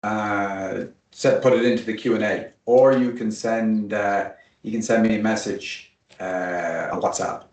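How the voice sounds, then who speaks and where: A man's voice: fairly low pitch, steady tone, unhurried, a little mellow, far from the mic. A man hosting an online interview in a casual, chatty way, joking at his own expense. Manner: chatty, jokey and self-deprecating. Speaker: host of an online webinar. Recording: roomy sound from away from the mic.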